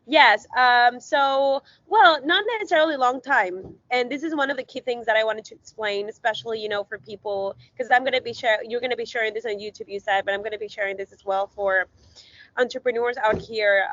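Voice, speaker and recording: A woman's voice: a little high, brisk, a bit bright, no echo, highs cut off. A woman from Ecuador, a guest in an interview, talking earnestly with lots of fillers and rambling asides. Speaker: guest, visibility strategist. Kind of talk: casual interview talk.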